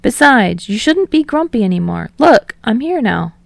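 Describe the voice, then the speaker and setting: An easygoing young man read by a woman in an audiobook, casual and teasing. The voice has a creaky edge and is recorded dry and close. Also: very high-pitched, sing-song, normal speed, no room sound, exaggerated voice. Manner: casual, joking.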